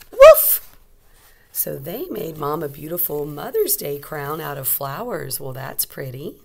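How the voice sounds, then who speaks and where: Lady teacher doing storytime for little kids, kinda low voice, asks easy questions, explains as she goes. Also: vocal fry. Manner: simple questions, expressive teacherly delivery. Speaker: teacher reading to children. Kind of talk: storybook read-aloud with explanations for young children.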